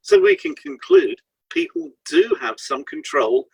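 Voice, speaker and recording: A man's voice: extremely high pitch, huge pitch swings, a little slow, vocal fry, darkish tone, no room sound, rough recording. A man reading a scripted educational piece aloud as a podcast co-host.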